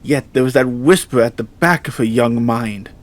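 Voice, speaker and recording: A man reading scripted horror fiction as narrator in a measured, solemn storytelling manner. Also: mid-range voice, slight background noise.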